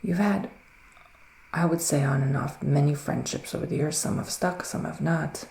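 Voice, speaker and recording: A man speaking softly in an ASMR roleplay as a psychic witch, often near a whisper, musing slowly with little hums. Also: a little high, gravelly, echoey room. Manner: slow, hesitant, musing, with murmured hums. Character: gentle, mystical male witch giving readings. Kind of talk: soft-spoken ASMR roleplay, partly whispered.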